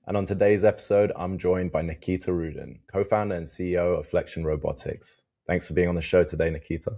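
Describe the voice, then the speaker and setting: A male podcast host with a very deep voice. He chats in a friendly, easygoing way, with a good number of "um"s and "like"s between his questions. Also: steady tone, quick pace, creaky, mellow. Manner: friendly, chatty, with filler words. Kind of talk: casual interview hosting.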